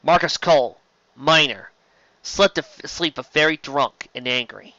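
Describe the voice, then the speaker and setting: A man's voice: on the high side, unhurried, bright, forceful tone, no echo, dull audio. A man reading science fiction aloud as an amateur audiobook narrator, in a dramatic storytelling style.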